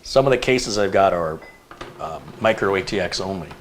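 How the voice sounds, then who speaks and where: A man hosting a live stream, chatting casually and thinking aloud with relaxed fillers. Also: low-pitched, moderate pace, a little hiss or hum. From United States. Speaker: show host.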